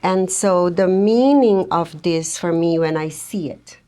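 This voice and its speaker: A middle-aged woman from Suriname, Dutch as her first language, speaking slowly and thoughtfully as a podcast guest. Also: on the low side, warm tone. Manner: slow, reflective, thoughtful with frequent pauses. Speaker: guest social worker. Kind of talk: podcast interview.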